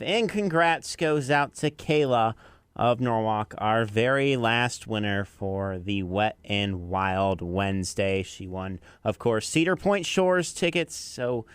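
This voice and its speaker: Male American country-radio DJ, mid-pitched, conversational links and countdown reads, dry studio-tight sound. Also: a bit bright, smooth, no room sound. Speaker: afternoon drive radio DJ.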